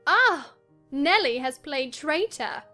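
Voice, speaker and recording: Woman performing a bitter feverish young wife, high voice, dramatic, commanding, scornful, classic audiobook drama, faint music. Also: lively intonation, slow pace, bright, forceful tone, heavily acted. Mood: furious. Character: passionate, delirious, headstrong young wife, bitter and imperious. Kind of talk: dramatized classic novel reading. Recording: music behind the voice.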